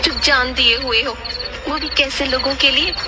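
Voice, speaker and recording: A woman's voice: sits high, steady tone, moderate pace, close to an everyday voice. A woman voicing an exasperated, nagging wife in a dramatised moral story, with questioning reproach. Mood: exasperated scolding. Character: exasperated scolding Indian wife of a goldsmith. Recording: loud music behind the voice.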